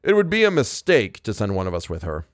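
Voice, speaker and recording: A man voicing a gentle, hesitant, apologetic older doctor in an audiobook. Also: fairly low pitch, huge pitch swings, brisk, dry recording, clean studio sound. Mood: grave, careful. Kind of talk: audiobook character voice.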